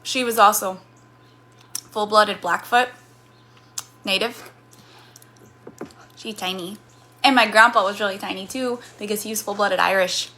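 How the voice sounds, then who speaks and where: A woman streamer in her thirties with a slight Missouri accent talks in a loose, rambling way and stops to answer comments from her chat. Her tone is bright and forward. A faint hum sits under her voice. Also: mid-range voice, fairly even intonation, unhurried, pauses often. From Missouri, USA. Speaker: livestreamer. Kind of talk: casual chat with live chat.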